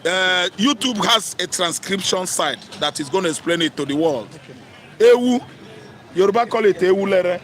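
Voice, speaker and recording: A high-pitched man with a Nigerian Igbo accent holds forth as a political commentator. He is emphatic and combative, repeats his points for force and drops into Pidgin. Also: expressive, moderate pace, fairly bright tone, slight background noise. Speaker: talk show commentator. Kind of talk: opinionated live political commentary.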